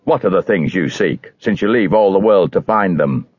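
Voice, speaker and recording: A man's voice: low-pitched, expressive, normal speed, husky, dry recording, lo-fi sound. A man voicing a stubborn, scornful neighbour in a storybook, blustering and dismissive.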